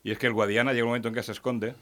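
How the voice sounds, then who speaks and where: A man's voice: medium pitch, flat delivery, rapid-fire, fairly bright tone, dry recording. A man from Ciudad Real, a panelist in a radio debate, speaking in an emphatic, lamenting way. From Ciudad Real, Spain.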